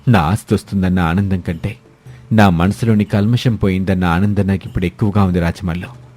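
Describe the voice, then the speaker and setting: A proud, rich older landlord, played by a man in a cartoon village fable. His voice is very deep and clear-edged bright, with music behind him. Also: fairly even intonation, a little fast. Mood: remorseful. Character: proud older wealthy male landlord, humbled. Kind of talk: scripted moral-story cartoon dubbing.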